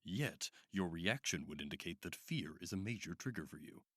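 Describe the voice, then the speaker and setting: A man's voice: low voice, lively intonation, normal speed, a bit bright. A man playing a polite, probing doctor in an audio drama, courteous and a little hesitant. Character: probing, polite male doctor at a sinister institute. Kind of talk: horror audio drama acting.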